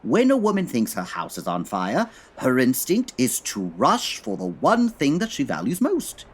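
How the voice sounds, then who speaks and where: A brilliant, exasperated gentleman detective in a comedy audio drama. His diction is formal and pompous, with a slightly husky edge and big swings in pitch. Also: wildly swooping pitch, moderate pace, exaggerated voice. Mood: smug, explaining.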